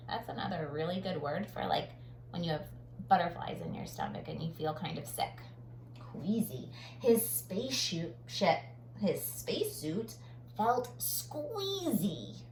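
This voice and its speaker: A woman reading a children's picture book aloud like a teacher, dramatic on sound words and pausing to comment. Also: medium pitch, darkish tone. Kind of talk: expressive children's read-aloud with explanatory asides. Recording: roomy echo, faint background noise.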